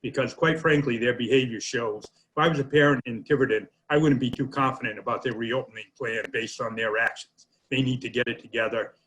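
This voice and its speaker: A man's voice: medium pitch, steady tone, a little fast, a bit bright, poor audio. A man co-hosting a union talk show, speaking earnestly and indignantly with a few fillers. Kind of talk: casual talk show commentary.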